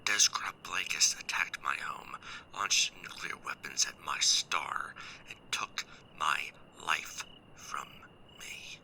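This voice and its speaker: A very deep-voiced soldier acted by a man, close to a whisper and sharply edged. He is a proud, bitter survivor of a lost unit in a sci-fi audio drama, speaking with solemn formality. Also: slow, creaky, heavily acted, low-quality recording. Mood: bitter, resigned. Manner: hushed, solemn, formal warrior speech. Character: stranded clan mech warrior, proud, bitter, grieving survivor. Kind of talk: ASMR sci-fi audio roleplay.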